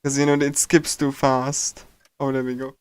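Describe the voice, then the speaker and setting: A man livestreaming a game, casually commenting and reading aloud in a halting way, often repeating himself and stumbling. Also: mid-range voice, completely flat delivery, clean tone. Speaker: streamer. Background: game music.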